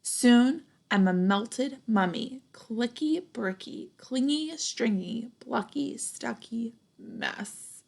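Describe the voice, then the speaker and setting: A young woman reads a storybook to children at an unhurried pace, with noticeable vocal fry. Her delivery is expressive and deliberate, and she adds little comments and questions for the kids. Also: fairly high pitch. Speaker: storybook reader, teacher. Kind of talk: children's picture book read-aloud.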